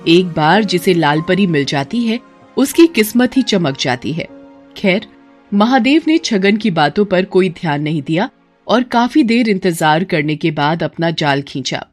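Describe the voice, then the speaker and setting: A storyteller narrates a scripted cartoon tale in a mid-pitched voice. Music plays behind the narration. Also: expressive, measured pace.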